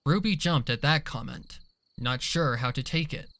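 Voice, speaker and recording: A man's voice: mid-range voice, moderate pace, a bit bright. A man reading scripted dramatic story narration as the narrator of an audio drama.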